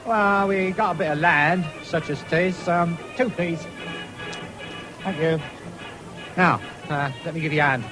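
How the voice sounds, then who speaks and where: A man's voice: on the high side, a little slow, pauses often, music in the background, natural voice. An actor playing an easygoing, free-spending young countryman with a rural English accent in a 1970s radio drama, teasing and hesitant in dialect. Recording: noisy old radio broadcast, fairground din.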